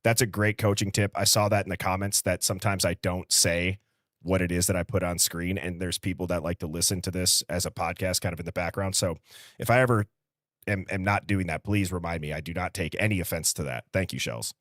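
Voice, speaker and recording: Low-voiced American man, casual livestream host. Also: steady tone, brisk, fairly bright tone, dry recording, clean studio sound. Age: early 30s. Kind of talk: casual live-stream chat, reading out viewer comments.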